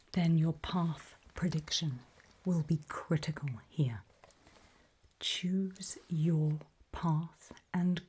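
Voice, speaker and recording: A woman playing a calm, faintly sinister guide in a creepy ASMR roleplay, whispering slowly and deliberately with a breathy voice. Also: low voice, exaggerated voice. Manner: slow, deliberate, coolly instructive, softly ominous. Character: calm, faintly sinister female guide briefing people on alien dangers.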